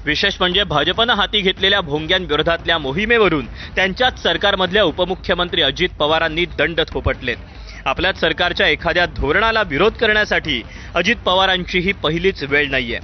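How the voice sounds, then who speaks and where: A man's voice: sits high, expressive, brisk, slight background noise. A man reads scripted news narration in a dramatic style. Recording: slightly dull sound over music. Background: background music.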